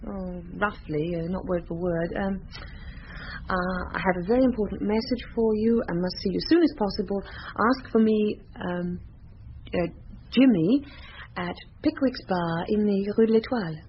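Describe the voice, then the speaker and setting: Actress as young newlywed, indignant and unsure of herself. Slightly muffled radio drama sound, light background noise. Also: female voice, medium pitch, moderate pace, natural voice. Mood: hesitant recalling. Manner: hesitant, indignant. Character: flustered, defensive young newly married woman.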